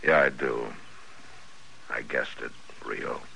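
An actor playing a stoic, world-weary American frontier marshal in a 1950s radio western, terse, steady and understated. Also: male voice, a bass voice, takes it slowly, vocal fry, full character voice, low-quality recording. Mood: quiet, reassuring. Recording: old radio recording with faint noise.

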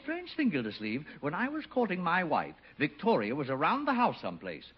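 An absent-minded middle-aged husband, with a husky, fairly high voice that swoops widely. He is halting and earnest in a muffled old radio comedy. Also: wildly swooping pitch, heavily acted. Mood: earnest, smitten. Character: absent-minded, slow-witted husband smitten with his sister-in-law. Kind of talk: 1950s radio comedy acting.

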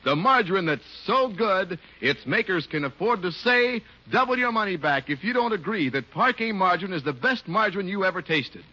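A man working as a 1950s radio announcer and sponsor pitchman. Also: expressive, a little fast, few pauses, pushed and bright. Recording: muffled old radio broadcast.

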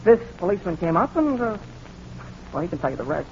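A boastful, awkward teenage boy in a 1940s radio play. He talks fast and high with lively swings of pitch, earnest and hesitant. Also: vocal fry, exaggerated voice. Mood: eager, self-important. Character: boastful awkward teenage boy, eager to impress, easily flustered. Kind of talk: 1940s radio drama acting. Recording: dull, noisy 1940s radio recording.

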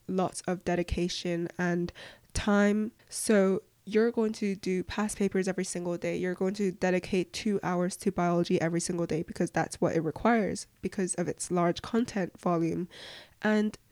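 A young woman with a voice croaky from flu, giving casual, earnest advice as a podcast host answering questions. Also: on the low side, darkish tone, dry recording. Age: young adult.

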